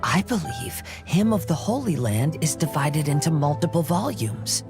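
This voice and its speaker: Game gentleman, young male: polite, composed, thoughtful, music behind. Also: a little high, sing-song. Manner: courteous, measured, reflective. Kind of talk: anime-style video game acting.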